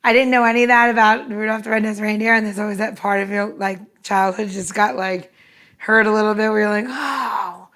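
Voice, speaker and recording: A woman's voice: mid-range voice, steady tone, fairly quick, fairly bright tone. A woman co-hosting a podcast, chatting casually in a playful, informal way. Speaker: podcast co-host. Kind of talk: casual chat.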